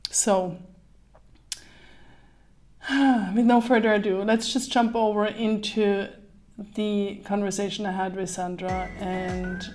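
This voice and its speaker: A woman's voice: medium pitch, steady tone, a little mellow. A woman hosting a podcast in casual interview chat, upbeat and laughing often. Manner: upbeat, laughs often, slow careful intro. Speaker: podcast host.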